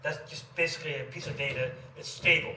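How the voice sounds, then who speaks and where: Canadian guy tutoring, fast talker, kinda bright voice, echoey room. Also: on the high side, fairly even intonation. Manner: quick, chatty, repeats phrases, many yeahs. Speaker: economics tutor. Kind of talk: casual one-on-one tutoring chat. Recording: echoey room, mic at a distance, faint noise.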